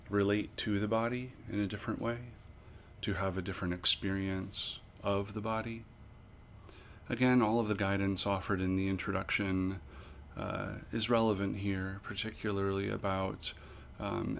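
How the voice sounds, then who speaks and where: A man leading a guided body scan meditation as an instructor, with measured, pausing, gently instructive phrasing. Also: sits low, vocal fry, a little hiss or hum.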